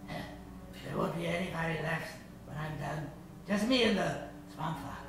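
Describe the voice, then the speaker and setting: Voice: mid-range voice, a little slow, lightly acted. An actor playing a small, menacing frog king in a comic old-time radio play. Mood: quietly menacing. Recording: distant, noisy, roomy stage recording.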